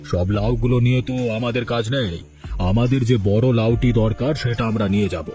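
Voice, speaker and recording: A man's voice: mid-range voice, fairly quick, lightly acted. A man voicing a proud village headman in a cartoon, authoritative and coaxing. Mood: scheming. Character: village headman and teacher, proud, two-faced. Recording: soft music under the voice.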